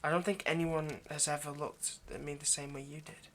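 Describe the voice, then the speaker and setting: A man's voice: fairly high pitch, little pitch movement, brisk, a bit bright. A man playing a nervous, centuries-old vampire kidnapper in an intimate ASMR roleplay, hesitant, stammering and apologetic as he speaks to the listener. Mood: tender confessional. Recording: faint background noise.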